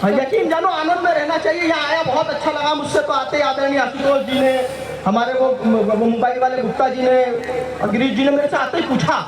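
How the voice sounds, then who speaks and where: A man's voice: very high voice, steady tone, a little fast. A man from Madhya Pradesh performing comic poetry on stage, telling jokes with playful punchlines. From Madhya Pradesh, India. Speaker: stage poet. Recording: echoey live PA sound from far off, crowd noise.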